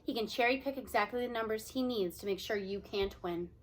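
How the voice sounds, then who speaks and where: A woman voicing a sorrowful, sympathetic female school principal in a storybook, grave and measured. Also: on the high side, lightly acted, poor audio. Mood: grave, regretful.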